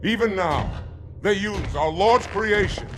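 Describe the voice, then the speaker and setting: A man's voice: high voice, slow, music in the background. A man playing a proud, zealous alien warrior commander in a game cutscene, with formal, declamatory delivery. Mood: rousing, zealous. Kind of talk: video game cutscene acting.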